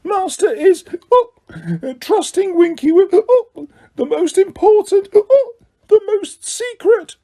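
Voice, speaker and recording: A man voicing a tiny female house elf who is drunk and crying, with a squeaky, slurred, halting voice. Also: sing-song, warm tone, full character voice. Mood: tearful drunk. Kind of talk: audiobook character voice.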